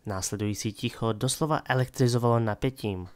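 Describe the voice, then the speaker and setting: A man's voice: medium pitch, darkish tone. A man giving scripted dramatic narration of a fantasy story as the narrator of an audio drama. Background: music.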